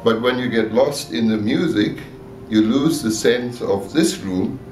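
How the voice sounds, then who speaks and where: A man giving a measured technical explanation in an interview, checking that he is understood. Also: mid-range voice, a little mellow, slight background noise. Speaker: loudspeaker designer. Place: exhibit room at an audio show. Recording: echoey room, distant mic, music behind.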